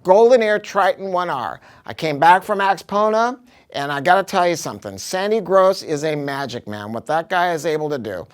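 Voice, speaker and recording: A man's voice: on the high side, lively intonation, moderate pace. A man giving a casual product review, talking informally and emphatically with some salty language.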